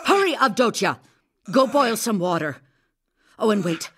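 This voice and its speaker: An actress playing a grieving village widow who becomes a commanding healer, in an audio drama. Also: female voice, medium pitch, big pitch swings, a little slow, fairly bright tone, breathy edge, exaggerated voice. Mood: urgent, commanding.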